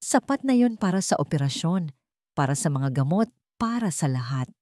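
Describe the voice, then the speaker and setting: Female narrator, lower register, dry close sound, measured suspenseful delivery for serialized romance drama. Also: sing-song, a little mellow, no echo. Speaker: audio story narrator.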